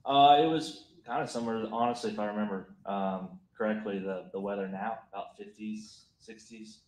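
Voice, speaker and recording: A male police officer gives testimony from the witness stand, careful and polite, with short answers and longer explanations. His voice is fairly low, and the courtroom adds a clear echo around it. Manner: measured, polite, answers with "yes, ma'am". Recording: echoey courtroom audio.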